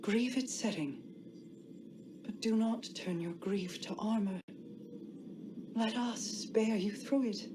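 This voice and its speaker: An actress playing a solemn woman leader in a video game, guarded yet compassionate, with earnest, formal, deliberate phrasing. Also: mid-range voice, natural voice. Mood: grave, consoling. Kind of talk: video game cutscene dialogue. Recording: clear background ambience noise.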